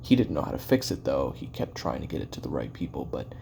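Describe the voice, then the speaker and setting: A man playing a weary, regretful ex-assassin in an audio roleplay, recording his memoirs in a halting, confessional, musing way with a gravelly voice. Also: very deep voice, sing-song, dark tone, a little hiss or hum, heavily acted. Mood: musing, guarded. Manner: halting, musing, trailing pauses and self-corrections.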